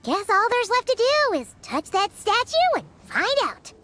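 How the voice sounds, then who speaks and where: A woman voicing a tiny, excitable childlike fairy companion in a video game, with a squeaky voice and exclamatory delivery. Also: moderate pace, heavily acted. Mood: puzzled, speculative. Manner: exclamatory, refers to herself in third person. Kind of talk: video game character acting.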